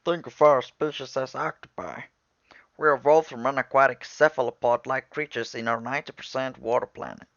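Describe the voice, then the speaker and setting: A man voicing a patient, proud aquatic alien commander in an animated film, explaining things in a formal, measured way. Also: mid-range voice, no room sound, muffled recording, close to an everyday voice. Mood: calm, authoritative. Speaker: commander briefing trainees.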